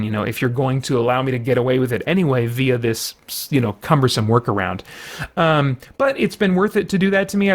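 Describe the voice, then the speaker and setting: A man giving a casual, rambling game review, full of fillers and restarts. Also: medium pitch.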